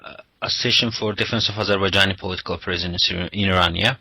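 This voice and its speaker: Radio interviewer guy, lowish voice, lots of ums, slightly broken english. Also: steady tone, very fast, vocal fry, pushed and bright, husky, no echo, poor audio. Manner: hesitant, many "uh" and "um", non-native English grammar.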